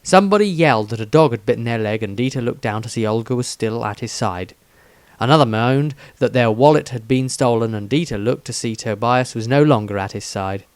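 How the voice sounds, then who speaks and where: A man's voice: medium pitch, brisk, dry recording. A man reading a scripted fantasy story aloud as its narrator.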